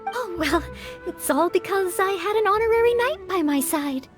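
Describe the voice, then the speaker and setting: An actress playing a timid, polite young maid knight in a video game, speaking earnestly and hesitantly, eager to help. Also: female voice, extremely high pitch, lively intonation, normal speed, music in the background. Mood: modest. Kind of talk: video game character acting.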